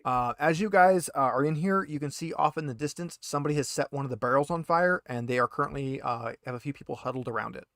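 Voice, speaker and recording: A man's voice: on the high side, fast talker, hardly any pauses, a bit bright, dry recording, studio quality. A man acting as game master, casually narrating a tabletop roleplaying scene with conversational asides.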